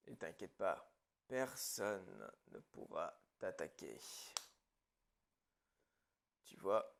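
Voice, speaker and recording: A calm, menacing psychopath, acted out by a man in an ASMR roleplay. The voice is very creaky and unhurried, sitting fairly low. He talks in a hushed, falsely reassuring way that drops into whispers. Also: heavily acted. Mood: menacing reassurance. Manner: unhurried, hushed, dropping into whispers, darkly casual.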